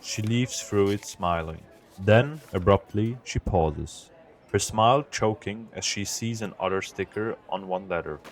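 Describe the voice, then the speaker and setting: Deep-voiced man narrating evenly. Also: a little slow, rough recording. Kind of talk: scripted narration.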